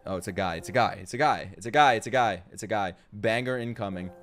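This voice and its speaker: A man's voice: fairly low pitch, quick pace, a bit bright. A man streaming a casual live reaction, slangy, sweary and exclamatory. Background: game trailer music.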